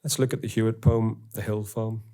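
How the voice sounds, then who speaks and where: Low, flat-voiced Northern Irish man lecturing. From Northern Ireland. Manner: measured, reads quotations slowly. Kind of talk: academic lecture reading poems aloud. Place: university auditorium.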